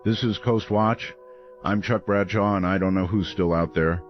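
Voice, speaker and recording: Very deep, weary radio host played by an actor. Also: male voice, steady tone, muffled recording, close to an everyday voice. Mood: grim. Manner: grave, deliberate, sombre. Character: grim, weary late-night talk radio host. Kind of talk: audio horror drama, radio broadcast. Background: music.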